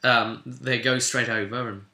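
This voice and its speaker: A man's voice: medium pitch, fairly even intonation, unhurried, sharp tone. A man, a game master, recounting a tabletop roleplay session in a rambling, emphatic, casual storytelling way and acting out the odd remark. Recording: echoey, reverberant room.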